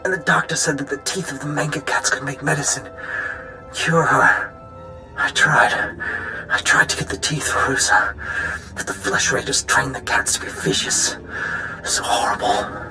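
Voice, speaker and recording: An actor playing a dying husband and father in a video game, giving a halting, tender, regretful farewell as a recorded holo message. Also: medium pitch, fairly even intonation, bright, forceful tone. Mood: pained. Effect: holographic recording. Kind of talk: dramatic video game acting. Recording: echoing holo message, music behind.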